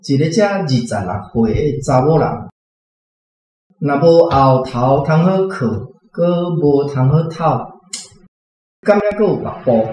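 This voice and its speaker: A man's voice: on the high side, unhurried, dark tone, a little hiss or hum. A man with a Taiwanese accent gives reflective comments in a discussion. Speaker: reading club member commenting on a story. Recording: very echoey room with guitar music.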